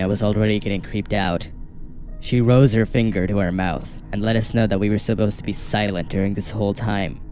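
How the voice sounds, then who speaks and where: Man, scripted horror narration, measured, steady, fairly low voice, soft faint music behind.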